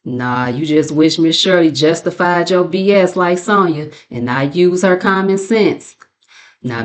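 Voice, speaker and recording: An American woman with a slightly low voice hosts a gossip commentary channel. She talks casually and colloquially, with a sassy, mocking edge as she recaps the latest mess. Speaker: commentary channel host and narrator.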